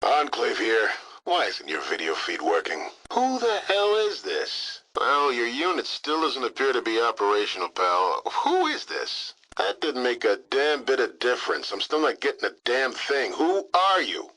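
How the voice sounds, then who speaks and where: Testy, forceful military radio operator. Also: male voice, mid-range voice, huge pitch swings, normal speed, full character voice, poor audio. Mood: irritated, threatening. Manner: snide, wisecracking, testy. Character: irritable foul-mouthed military communications operator. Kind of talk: video game voice acting.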